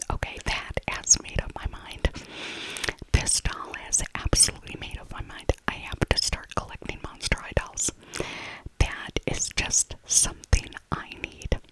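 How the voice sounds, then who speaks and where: A woman speaking in a breathy, unvoiced whisper, chatting directly to the listener in an ASMR shopping voiceover. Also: rough recording. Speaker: ASMR creator.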